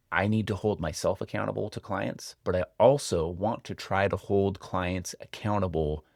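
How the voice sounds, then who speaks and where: Low-voiced American man giving measured advice. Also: dry recording, clean studio sound. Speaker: financial advisor hosting a retirement planning show. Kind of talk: explanatory advice talk to camera.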